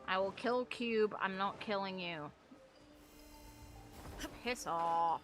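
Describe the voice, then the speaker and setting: A woman with a bright, forward voice commentates casually on her game over some music. She is blunt and swears freely, talking straight at whatever is on screen. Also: medium pitch. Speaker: game streamer.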